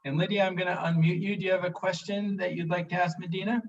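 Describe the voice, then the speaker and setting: A man's voice: high voice, level tone, fast. A man hosting a livestream, moderating questions in an upbeat, encouraging way. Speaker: livestream host. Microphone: video call. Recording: distant, muffled video call audio.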